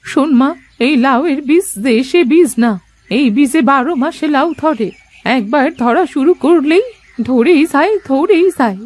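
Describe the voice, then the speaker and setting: A woman voicing a gentle, motherly old beggar woman in a moral-story cartoon, speaking in a folksy, coaxing way. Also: sits high, expressive, moderate pace. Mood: warm, coaxing. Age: older. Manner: folksy, coaxing, addresses others as her children.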